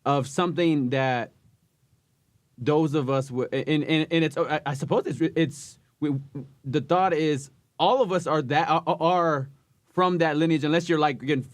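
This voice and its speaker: A man hosting a podcast, talking in a halting way with many restarts as he searches for words. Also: fairly high pitch, no room sound. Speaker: podcast host. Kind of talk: casual podcast interview.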